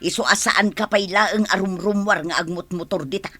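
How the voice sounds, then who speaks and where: A woman's voice: mid-range voice, normal speed, sharp tone, soft background music. A woman with an Ilocano accent playing a nagging, gossipy village woman in a comedy radio drama.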